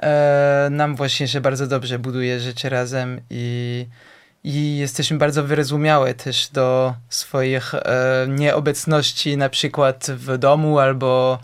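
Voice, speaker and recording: Slow, halting man with a smooth voice. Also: mid-range voice, level tone. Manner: slow, searching for words with many fillers. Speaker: interview guest, musician. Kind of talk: casual interview answers.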